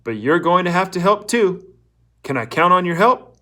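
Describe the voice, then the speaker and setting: A man's voice: sits high, big pitch swings, normal speed, pushed and bright, pitched above the performer's own voice, full character voice. A man voicing a cheerful, patronizing camp counselor in a storybook reading, hearty and encouraging toward teenage boys.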